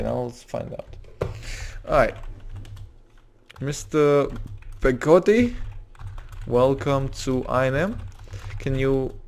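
Young man muttering gravelly while streaming. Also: medium pitch, darkish tone, slight background noise. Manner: mutters to himself while typing, frequent restarts. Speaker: game streamer. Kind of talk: live-streamed roleplay commentary.

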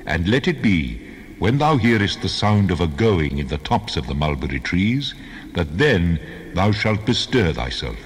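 Man as deep, reverberant voice of God. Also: very animated, measured pace. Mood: commanding. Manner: authoritative, solemn. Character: God, commanding, majestic male divine voice. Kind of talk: dramatized Bible reading. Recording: long cavernous reverb.

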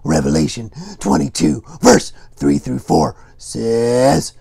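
Goofy dinosaur puppet, children's Bible skit: heavily gravelled, unhurried, excitable. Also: male voice, mid-range voice, exaggerated voice. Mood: cheerful teaching. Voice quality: husky, gravelly. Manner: playful, chatty, exclamatory.

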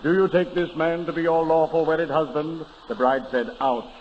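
An actor in a 1930s radio comedy playing a solemn, sanctimonious parson with a pompous, preacherly manner. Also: male voice, fairly high pitch, fairly even intonation, normal speed, natural voice. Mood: solemn, pious. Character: solemn, sanctimonious country parson, an adult man. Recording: muffled, hissy, echoey 1930s radio recording.

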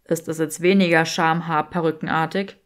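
A woman's voice: deep voice, steady tone, normal speed, pitched below the performer's own voice, natural voice. A woman voicing a vain, teasing young male wizard in a fantasy audiobook. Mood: smug, teasing.

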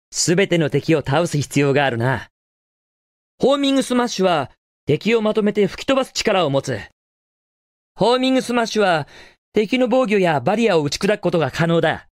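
A man's voice: fairly high pitch, no room sound, clean studio sound, close to an everyday voice. An actor playing a cocky, confident young male hedgehog hero in a Japanese video game, with a cool, casual delivery.

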